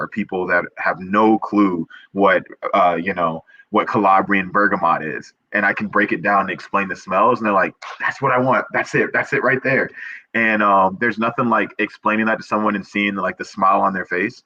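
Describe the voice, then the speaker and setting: A man's voice: deep voice, pushed and bright, no echo, highs cut off. A young man, a perfumer guest on a video call, talking earnestly and eagerly, full of restarts and fillers. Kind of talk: casual interview chat.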